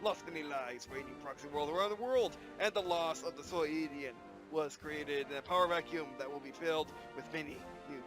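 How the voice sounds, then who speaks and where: A grave American president delivers a slow, solemn farewell address, as a man puts the voice on. The voice sits fairly high for a man but is pushed lower and quieter than his everyday talk, with game music playing clearly behind. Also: natural voice.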